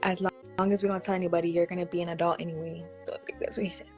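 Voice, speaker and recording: Young woman, casual interview, animated, quotes others, faint music behind. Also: fairly low pitch. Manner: quick, animated, acts out quoted speech. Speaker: interview guest.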